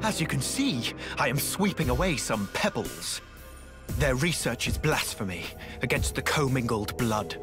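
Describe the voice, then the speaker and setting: An actor playing an arrogant, fanatical young noble villain in a video game, with a pompous, grandiose manner. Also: male voice, medium pitch, big pitch swings, a little slow. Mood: mocking, smug. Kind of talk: theatrical video game voice acting. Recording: loud game music behind the voice.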